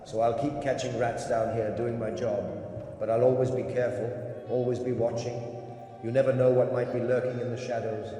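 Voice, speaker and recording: Wary rat catcher in dark cellars, played by a man, brooding and hushed, heavy hall echo. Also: medium pitch, flat delivery, moderate pace, natural voice. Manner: brooding, cautious, drops to hushed tones. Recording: echoey reverberant hall.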